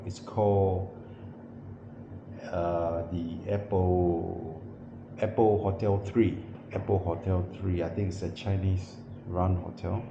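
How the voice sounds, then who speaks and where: A man showing a small windowless hotel room while telling a travel story, often pausing and correcting himself with many fillers. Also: deep voice. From New Zealand. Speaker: travel vlogger. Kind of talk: casual vlog walkthrough. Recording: echoey room, muffled, noisy background.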